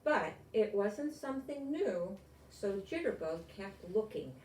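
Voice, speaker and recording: A woman's voice: medium pitch, sing-song, takes it slowly, a little mellow, slight background noise. An older woman reading a picture book aloud to children, expressively, with little asides and questions to the listeners. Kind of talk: animated read-aloud to children. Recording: echoey room, distant mic.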